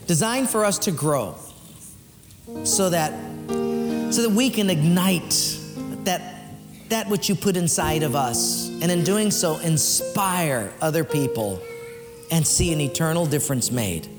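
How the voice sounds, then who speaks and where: A man preaching an earnest sermon as pastor in a church, swinging between lifted emphatic lines and hushed ones, then leading a prayer. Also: fairly high pitch, lively intonation, measured pace, long reverb tail, music in the background.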